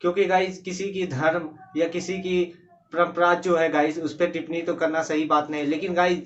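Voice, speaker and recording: A man's voice: a little high, flat delivery, normal speed. A man giving casual, unscripted reaction commentary, hesitant and repeatedly addressing his viewers. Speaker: reaction video host. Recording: slightly dull, lo-fi sound.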